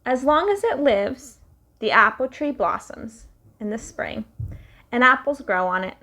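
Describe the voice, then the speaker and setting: A young woman reading a picture book aloud to children in a slow, sing-song storytelling way. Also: on the high side, lively intonation, pushed and bright.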